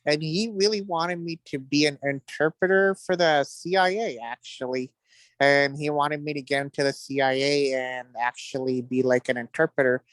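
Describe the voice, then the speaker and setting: Clear-voiced man chatting, closely recorded. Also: a little high, fairly even intonation, normal speed, a bit bright, no room sound. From Middle East (Iraq). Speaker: interview guest. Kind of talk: casual personal storytelling.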